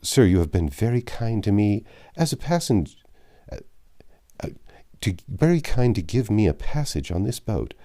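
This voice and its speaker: A man acts out a storybook detective who is stiffly courteous and quietly resentful. He speaks formally and haltingly, in a mellow voice with lively swings of pitch. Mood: strained politeness. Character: stiffly polite detective hiding resentment of his host. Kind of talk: storybook reading.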